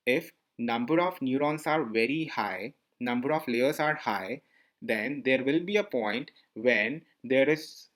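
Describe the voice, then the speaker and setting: Man teaching over slides, slightly high voice. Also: a bit bright. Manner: repetitive, asks listeners questions. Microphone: voice-over on slide screencast.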